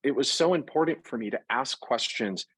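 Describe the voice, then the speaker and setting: A man being interviewed about his work in fashion, talking in a lively, conversational way and working through examples with frequent restarts. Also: mid-range voice, brisk, breathy edge, no room sound. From Puerto Rico. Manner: animated, talks through examples with self-corrections. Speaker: interview guest, fashion product executive.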